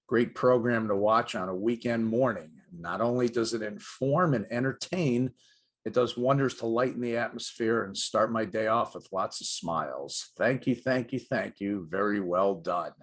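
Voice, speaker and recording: A man's voice: fairly low pitch, a bit bright. A middle-aged man co-hosting a sports show with light-hearted banter and dry, self-deprecating jokes.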